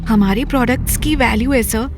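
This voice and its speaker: Casting: performer playing snooty young shopgirl, very high female voice, falsely polite, defensive, audio drama, music behind. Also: brisk, natural voice. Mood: defensive, dismissive. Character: haughty, defensive young female luxury store attendant.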